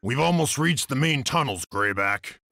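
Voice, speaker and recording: A man's voice: medium pitch, animated, normal speed, gravelly, pushed and bright, dry recording, exaggerated voice. A man playing a loyal, weary freed warrior in a video game, earnest and deferential to his leader. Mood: wary. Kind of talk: dramatic video game cutscene acting.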